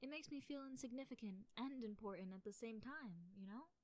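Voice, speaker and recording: A young woman playing a shy, nerdy, easily flustered girlfriend in a soft, intimate ASMR roleplay, with a hesitant, stammering delivery. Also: a little high, brisk, no echo, natural voice. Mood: calm, wistful. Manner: hesitant, stammering, giggly when embarrassed.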